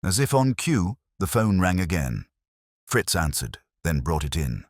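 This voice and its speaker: Really low husky guy reading a detective audiobook, pitch moves around a lot. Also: big pitch swings, unhurried, dry recording, studio quality. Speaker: audiobook narrator. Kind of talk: scripted narration of a hardboiled detective audiobook.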